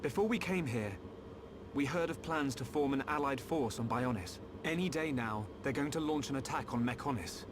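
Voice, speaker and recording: A man's voice: mid-range voice, normal speed, natural voice. An actor playing an earnest, polite and determined young male hero in a video game cutscene, over noise. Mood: urgent, resolute.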